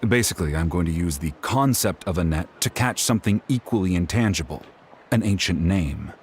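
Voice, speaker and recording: Low-voiced, world-weary game tribesman. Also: lively intonation, fairly quick, a little hiss or hum. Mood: matter-of-fact, focused. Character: defeated, intense male tribesman crafting a spirit net. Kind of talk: video game voice acting.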